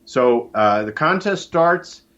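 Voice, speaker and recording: Man, enthusiastic interview guest, conversational, mid-range pitch, muffled band-limited call-in audio. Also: lively intonation, takes it slowly, a bit bright. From United States. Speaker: guest, contest organiser.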